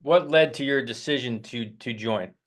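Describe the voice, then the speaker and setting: A man's voice: mid-range voice, moderate pace. A man hosting a casual interview with his guitar student, speaking loosely with filler words. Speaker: host, guitar teacher.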